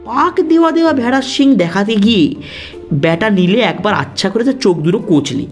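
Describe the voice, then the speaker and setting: A woman narrating a scripted cartoon story in an expressive, dramatic storyteller's manner. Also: mid-range voice, moderate pace, faint music behind. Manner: animated, dramatic delivery.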